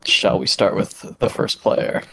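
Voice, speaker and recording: A man's voice: measured pace, a bit bright. A man co-hosting a podcast, reading out trivia hints in an easygoing way and laughing often. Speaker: podcast co-host, quiz giver. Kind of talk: casual chat.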